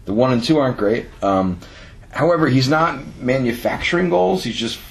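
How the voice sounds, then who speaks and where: A man's voice: mid-range voice, lively intonation, normal speed. A man co-hosting a casual fantasy football podcast, playful and teasing, laughing easily. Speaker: podcast co-host.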